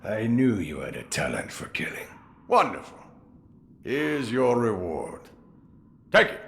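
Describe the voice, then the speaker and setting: An actor playing a crafty, jovial old samurai sword master in a video game, musing theatrically with drawn-out amusement. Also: male voice, fairly low pitch, sing-song, background noise. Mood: sly approval. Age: older. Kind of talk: dramatic video game voice acting.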